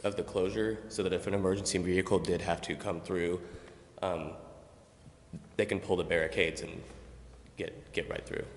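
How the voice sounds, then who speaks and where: A man giving a halting spoken explanation at a public meeting. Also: low-pitched, steady tone. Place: council chambers. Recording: echoey hall, faint background noise.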